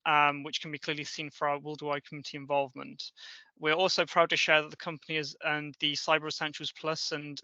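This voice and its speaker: A man's voice: on the high side, dry recording, highs cut off. A man giving a formal company introduction as a guest presenter, as if reading from notes. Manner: formal, reads from notes, flat delivery. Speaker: operations manager, guest presenter.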